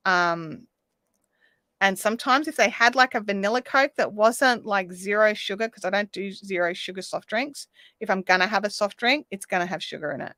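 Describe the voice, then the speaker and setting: A woman hosting a craft livestream, chatting casually and reading out viewer comments while she crochets, her voice slightly raspy. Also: medium pitch, normal speed, pushed and bright, no echo. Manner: chatty, reads viewer comments aloud, counts stitches under her breath. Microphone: desk microphone.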